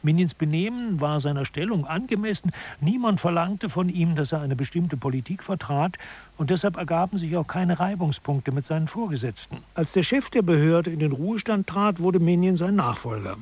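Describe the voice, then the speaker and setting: A man's voice: fairly high pitch, expressive, moderate pace, a little mellow, husky. A man acting as the orderly, matter-of-fact narrator of a satirical radio adaptation of a management book. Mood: storytelling. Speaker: narrator of an audio drama.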